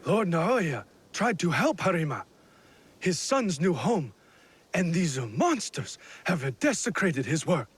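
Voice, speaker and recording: A nervous feudal lord, husky, high and animated, stammering. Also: male voice, slow, full character voice. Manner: hesitant, stammering with "uh". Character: flustered evasive middle-aged male lord. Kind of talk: video game cutscene acting.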